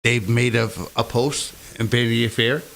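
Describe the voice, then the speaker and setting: A man's voice: medium pitch, steady tone, normal speed, creaky, fairly bright tone, a little hiss or hum. A man on a podcast panel, pushing to talk over the others and swearing. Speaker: podcast guest. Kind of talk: casual chat.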